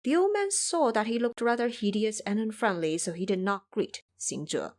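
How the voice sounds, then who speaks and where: A woman narrates an audio drama as a storyteller. Her scripted delivery is animated, with pitch that moves widely. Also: normal speed.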